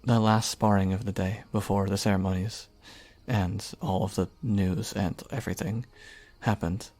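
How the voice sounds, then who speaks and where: A man voicing a devoted young knight who earnestly confesses his love, speaking softly and haltingly. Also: fairly low pitch, steady tone, natural voice. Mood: reassuring. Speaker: voice actor. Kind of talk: audio roleplay acting.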